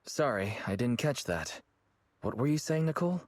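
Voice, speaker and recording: An actor playing a calm, thoughtful young male operator in an anime-style game, measured and earnest, with a soft, slightly husky voice. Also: mid-range voice, no echo, natural voice. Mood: puzzled.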